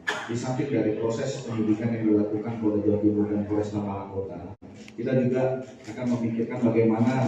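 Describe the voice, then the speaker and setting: Male police spokesman, formal and halting, low-pitched, boomy distant hall recording. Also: warm tone. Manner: formal, halting official delivery. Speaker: police spokesman at press conference. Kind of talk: press briefing answering reporters. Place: large echoey room, through PA microphone. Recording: boomy echoing hall, muffled, faint noise.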